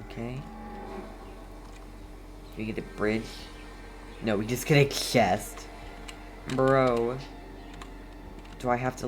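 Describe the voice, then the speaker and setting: A game streamer giving casual, slangy gameplay commentary, muttering in a halting way. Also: mid-range voice, noisy background.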